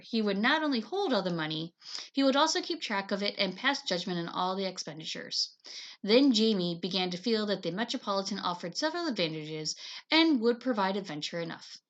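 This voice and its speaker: A woman teacher reading a chapter book aloud to children in an expressive, dramatizing manner. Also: medium pitch. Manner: animated, dramatizes dialogue.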